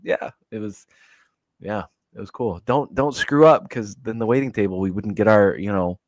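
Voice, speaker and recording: A man's voice: fairly low pitch, normal speed, dry recording. A man co-hosting a casual podcast, friendly, stumbling and repeating words as he talks. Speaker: podcast co-host. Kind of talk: casual chat.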